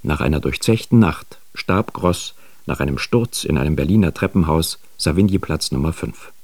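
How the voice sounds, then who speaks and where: A man reading a scripted biographical introduction for radio, with deliberate, emphatic phrasing. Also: extremely low pitch, animated, darkish tone, no room sound.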